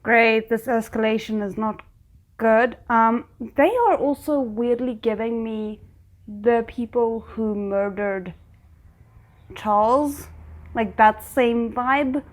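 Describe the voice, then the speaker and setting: A woman giving casual, chatty, expressive reaction commentary on a TV show, often breaking off mid-thought. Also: on the high side, slow. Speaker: reaction host.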